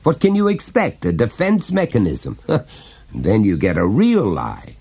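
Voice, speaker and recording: A man's voice: on the low side, huge pitch swings, unhurried, darkish tone, slightly rough, voice pushed up, full character voice. A man reading an audiobook, voicing a pompous middle-aged father who lectures his son in a condescending way. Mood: lecturing. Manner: condescending, explaining at length.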